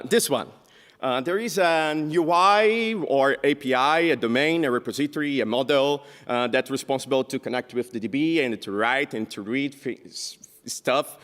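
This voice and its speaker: Brazilian man talking in an echoey hall. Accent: Brazilian Portuguese. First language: Portuguese. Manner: halting, many filler sounds, lively pitch jumps. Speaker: conference speaker. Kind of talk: technical conference talk. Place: large conference hall stage.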